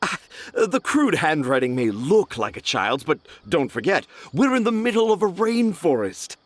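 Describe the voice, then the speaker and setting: A man's voice: fairly high pitch, sing-song, normal speed, slight background noise. A man voicing a pompous male writer in a game, grandiloquent and theatrical. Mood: grandiose. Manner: grandiloquent, self-satisfied, theatrical. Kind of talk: game voice acting.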